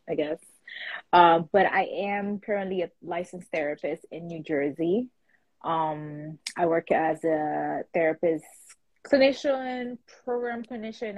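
Young female therapist guest, breathy and relaxed, dry close sound. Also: fairly low pitch, no echo, low-quality recording. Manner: relaxed, many fillers. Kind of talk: casual conversation. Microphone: call.

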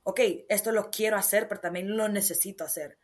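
A young woman from Honduras with a Honduran accent, a guest author telling stories in an animated, casual interview. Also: medium pitch, a little fast. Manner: animated, storytelling with many fillers.

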